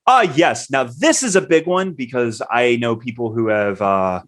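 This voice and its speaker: A man's voice: medium pitch, lively intonation, moderate pace, a bit bright. A man co-hosting a podcast in casual chat, talking loosely with many fillers and self-corrections. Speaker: podcast co-host.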